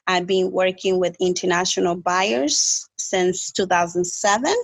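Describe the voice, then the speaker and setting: A woman's voice: fairly low pitch, steady tone, measured pace, gravelly, no room sound. A woman from the Dominican Republic, a native Spanish speaker, introducing herself conversationally as an interview guest. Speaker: podcast guest, real estate agent.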